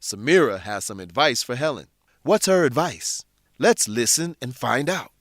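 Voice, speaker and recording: A man's voice: medium pitch, animated, moderate pace, fairly bright tone. A man hosting and narrating an educational radio drama in a scripted style for language learners.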